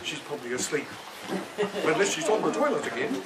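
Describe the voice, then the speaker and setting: Eager, animated boy puppet acted by a puppeteer, addressing kids in an outdoor show over a noisy crowd. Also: medium pitch, a little fast, natural voice. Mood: excited. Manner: addresses the children directly. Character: Jack, eager boy puppet with magic hen. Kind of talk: live children's puppet show. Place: outdoor puppet booth in a garden. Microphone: far camera. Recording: distant camera, noisy outdoor children's crowd.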